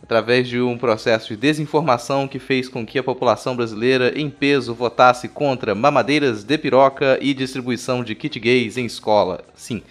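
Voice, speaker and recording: A man's voice: medium pitch, fairly even intonation, normal speed, few pauses. A Brazilian man hosting a podcast, talking informally with a wry, irreverent manner. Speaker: podcast host.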